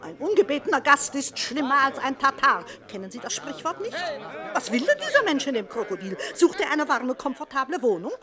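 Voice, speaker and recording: A self-righteous society lady in a radio play. Her high voice swoops widely as she scolds and declaims. She is haughty and full of moral outrage. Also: sing-song, brisk, crowd noise. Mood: scornful.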